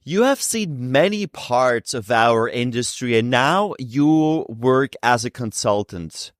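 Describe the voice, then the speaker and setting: A man hosting an interview podcast, asking deliberate questions. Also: medium pitch, animated, dry recording, clean studio sound. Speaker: podcast host.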